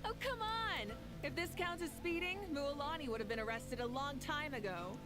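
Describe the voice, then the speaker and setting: A woman's voice: high voice, moderate pace, music in the background, lightly acted. A woman voicing a bold, fiery female leader who talks in a breezy, commanding way in game dialogue. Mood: amused.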